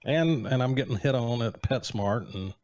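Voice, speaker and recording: A man's voice: mid-range voice, normal speed, a little mellow, dry recording. Late 40s guy cohosting, dry teasing jokes, dead dry mic sound. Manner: wry, joking banter. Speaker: podcast co-host. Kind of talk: casual chat.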